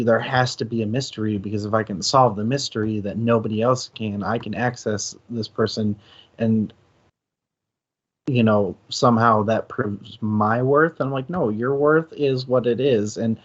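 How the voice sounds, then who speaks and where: A man co-hosting a casual discussion, thinking aloud haltingly and correcting himself as he goes. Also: medium pitch, steady tone, highs cut off. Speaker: co-host of a book discussion stream.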